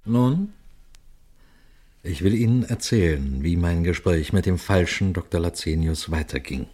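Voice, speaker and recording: An actor playing a calm, shrewd police detective in a German radio crime drama, speaking deliberately with dry irony. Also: male voice, sits low, breathy edge, exaggerated voice. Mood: addressing listeners. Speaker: detective narrating his own case.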